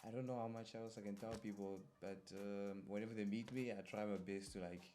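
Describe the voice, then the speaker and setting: A young man from Zimbabwe, a guest artist in a casual interview, talking in a soft-spoken, relaxed and easygoing way. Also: lowish voice, brisk, slight background noise.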